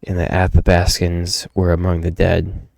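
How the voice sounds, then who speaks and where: A gruff, haunted loner tells a grim story in the first person, read by a man. The voice is deep and dark with a creaky edge, brooding and world-weary. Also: completely flat delivery, moderate pace, no room sound. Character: gruff, haunted loner brawler narrating his grim past. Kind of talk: dramatic first-person comic narration.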